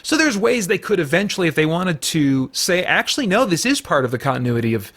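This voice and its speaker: Casting: male reviewer, middle-aged, voice a bit on the high side; informal, opinionated commentary that wanders as he thinks out loud. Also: sing-song, a little fast. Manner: rambling, informal, thinking aloud. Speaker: reviewer and show host.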